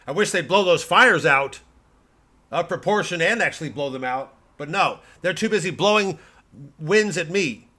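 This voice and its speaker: A man acts out an American right-wing pundit in a satirical podcast rant. The voice is sharp and forceful, full of bombast and indignant self-importance. He repeats himself and pauses heavily for emphasis. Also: fairly high pitch, expressive. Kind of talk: satirical political podcast monologue.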